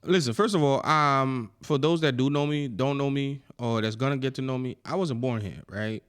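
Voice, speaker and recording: Haitian-born man, animated podcast talk. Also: medium pitch, moderate pace, clean tone. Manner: animated storyteller, swears freely. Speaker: podcast co-host. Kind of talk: casual chat.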